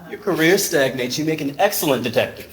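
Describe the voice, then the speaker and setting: An actor playing a smooth, mysterious male fencing rival who answers coyly and evasively, on a theater stage. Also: on the high side, lively intonation, quick pace, natural voice. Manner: coy, evasive. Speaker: fencer. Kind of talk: stage play acting. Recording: roomy stage sound.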